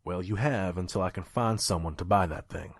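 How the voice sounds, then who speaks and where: Low-voiced pony trader, played by a man: pragmatic, jaded, gruff, brooding audio drama storyteller. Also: fairly quick, distant mic. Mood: dismissive. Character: world-weary, pragmatic pony junk peddler. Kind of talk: audio drama dialogue and first-person narration.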